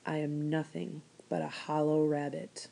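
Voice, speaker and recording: A despairing male china rabbit from a children's storybook, as a woman reader voices him. He thinks aloud quietly and wistfully. The tone is inward and restrained. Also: deep voice, little pitch movement, a little slow, gravelly, husky, heavily acted. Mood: hopeless. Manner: quiet, wistful inner thoughts.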